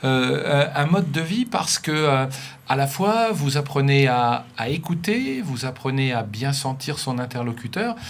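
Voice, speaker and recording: A man's voice: medium pitch, sing-song, a little slow. A man hosting a regional TV studio interview, introducing guests and asking questions with playful asides. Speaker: TV host.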